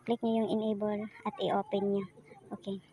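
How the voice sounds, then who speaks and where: A woman with a Filipino accent giving a casual step-by-step tutorial in a halting, self-correcting way, like someone demonstrating as she goes. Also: medium pitch, little pitch movement, dark tone. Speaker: tutorial presenter. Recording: noisy home recording with animal sounds.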